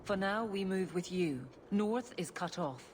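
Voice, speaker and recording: A woman's voice: medium pitch, animated, measured pace, background noise, natural voice. An actress playing a stern, loyal, protective woman warrior in a fantasy game. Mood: firm, measured.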